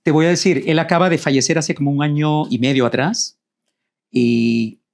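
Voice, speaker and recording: A middle-aged man from Rico, a guest telling his life story in a reflective, measured way. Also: a little high, steady tone, creaky. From Puerto Rico. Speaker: guest, co-author. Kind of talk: personal storytelling in conversation.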